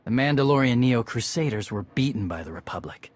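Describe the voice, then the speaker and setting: Man acting a husky, level-headed warrior. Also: mid-range voice, animated, a little fast, natural voice. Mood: pragmatic. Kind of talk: video game voice acting.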